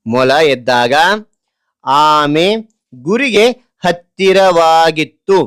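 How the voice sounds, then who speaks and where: Slow, deliberate male teacher. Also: fairly high pitch, lively intonation, a bit bright, smooth. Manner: deliberate, repeats short phrases word by word. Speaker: teacher presenting a children's writing lesson. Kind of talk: slow dictation-style lesson, reading out a story point by point.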